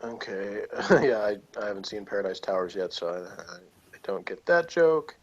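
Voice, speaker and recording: A man's voice: fairly low pitch, sing-song, normal speed. A man chatting casually as a co-host, laughing a lot and rambling with restarts. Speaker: co-host reviewing a TV episode. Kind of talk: casual chat. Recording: dull lo-fi sound.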